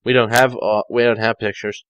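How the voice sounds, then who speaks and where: A man's voice: mid-range voice, flat delivery, a little fast, a bit bright, muffled recording, a little hiss or hum. A man reading fan fiction aloud as an amateur narrator, dropping sardonic, mocking side comments as he goes.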